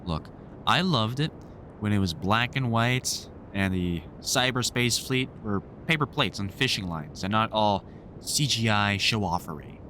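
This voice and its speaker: A man's voice: on the low side, expressive, unhurried, pushed and bright, a little hiss or hum. A man playing a wry, grumpily fond vampire hunter in a conversational audio roleplay, teasing and swearing through rambling explanations. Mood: explaining, wry.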